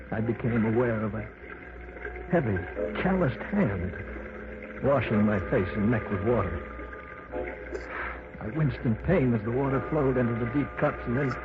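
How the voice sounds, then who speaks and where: A man's voice: lowish voice, natural voice. An actor playing a frightened man held captive underground, telling his tale in tense, dramatic old-time radio narration. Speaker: first-person narrator of a radio play. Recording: scratchy, tinny old radio broadcast.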